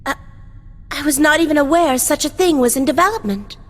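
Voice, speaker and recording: A woman's voice: high-pitched, a little fast, lightly acted. An actress playing a devoted, formal woman retainer and fighter in a video game, speaking courteously. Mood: gentle, admiring. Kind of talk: English video game dub acting. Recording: echoey hall reverb.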